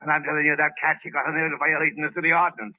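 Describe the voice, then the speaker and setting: Actor playing a talkative police sergeant, old radio sound. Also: male voice, on the high side, flat delivery, racing, phone-quality sound, natural voice. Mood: indignant. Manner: folksy, garrulous, colloquial phrasing. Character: genial, talkative, nosy Irish-American police sergeant neighbor turned stubborn. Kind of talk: 1930s radio drama acting. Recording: band-limited vintage 1930s radio recording.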